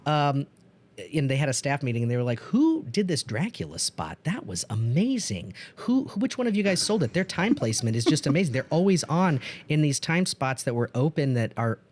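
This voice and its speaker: A man's voice: a little high, very animated, fairly quick, a little mellow. A man hosting a podcast in casual conversation, speaking enthusiastically and gushing about the things he loves. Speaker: podcast host and producer.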